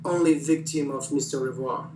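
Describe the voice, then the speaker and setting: A man interviewing a bereaved woman, halting and searching for words. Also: medium pitch, fairly even intonation, background noise. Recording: distant and echoey.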